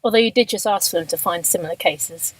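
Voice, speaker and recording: A woman's voice: fairly low pitch, fast talker, breathy edge, rough recording. A woman playing an inquisitive junior police detective in an amateur radio drama, informal and questioning.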